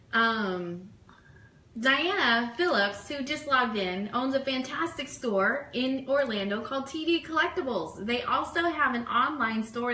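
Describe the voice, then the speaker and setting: Bright, high-voiced woman hosting in echoey room. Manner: chatty, enthusiastic. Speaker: co-host of an online fan radio show. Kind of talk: casual livestream chat, reading out requests and shout-outs. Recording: roomy echo, clear background noise.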